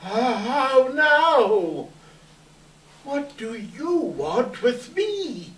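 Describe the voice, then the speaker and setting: Sour old miser acted by a stage reader, theatrical, slow, swooping pitch, pleading, echoey distant room. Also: sing-song, heavily acted. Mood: wary, defiant. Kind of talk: readers theater stage reading of a classic story. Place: indoor live event room.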